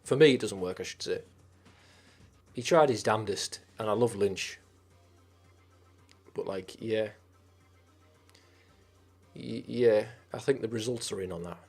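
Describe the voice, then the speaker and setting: A man's voice: lowish voice, measured pace, pauses often, darkish tone, slightly rough, faint music behind. A man with a Teesside accent hosting a live stream, chatting casually and swearing as he reads and answers viewer comments. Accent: Teesside English. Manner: rambling and sweary, with frequent restarts.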